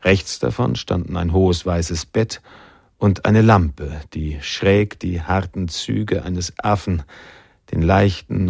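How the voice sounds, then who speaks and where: A man narrating a literary radio drama in a measured, sombre manner, with a gravelly, husky voice. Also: low voice, a little mellow, dry recording, studio quality, heavily acted.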